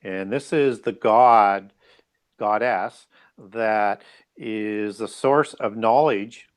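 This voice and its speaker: A man's voice: fairly low pitch, very slow, smooth. Man explaining at length as a guest. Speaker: interview guest, author. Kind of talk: explanatory interview talk.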